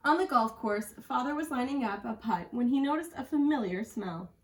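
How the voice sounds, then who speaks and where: A woman's voice: fairly high pitch, expressive, normal speed. A woman reading a children's picture book aloud as a storyteller, recorded at a distance from the microphone. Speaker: school storyteller.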